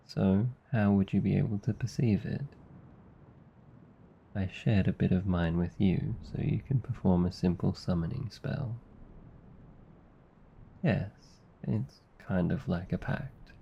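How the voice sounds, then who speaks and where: A man with an Australian accent playing a gentle, affectionate, protective demon in an intimate, soothing ASMR roleplay. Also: low-pitched, vocal fry, husky, highs cut off, full character voice. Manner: soft, intimate, soothing, teasing. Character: gentle, affectionate male sleep paralysis demon, protective comforter.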